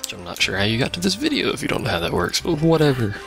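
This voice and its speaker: A man's voice: medium pitch, huge pitch swings, racing, gravelly. A man giving casual, sarcastic commentary as he plays a video game. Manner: sarcastic, wry. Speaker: let's play host. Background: game music.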